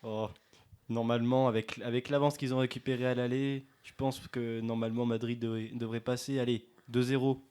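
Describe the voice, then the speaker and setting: A man speaks at a middle pitch as a guest on a local radio sports show. He keeps an easygoing, joking manner while he gives match predictions. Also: flat delivery, fairly quick, darkish tone, clean tone.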